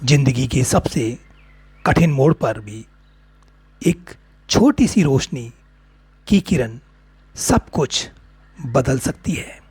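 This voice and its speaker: A man with an Indian accent narrating a guided meditation in short, deliberate phrases with long pauses. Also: medium pitch, expressive, a little mellow, husky, no echo.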